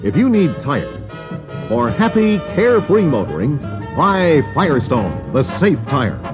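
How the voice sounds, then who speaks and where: An American male announcer delivers a scripted 1960s radio advertisement with a lively, swinging pitch, set a little high. Music plays behind him on the dull AM recording. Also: a little slow. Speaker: commercial announcer.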